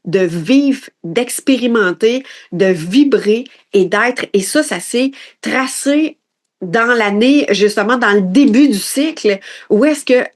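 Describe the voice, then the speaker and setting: A woman in her late forties with a Quebec French accent, hosting a reflective personal development podcast in an introspective, halting way, often restarting her phrases. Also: on the high side, expressive. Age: late 40s.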